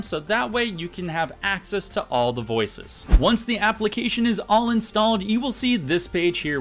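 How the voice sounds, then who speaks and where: A man in his thirties giving an upbeat, casual instructional software tutorial. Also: high voice. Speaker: tutorial host. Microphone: USB studio microphone.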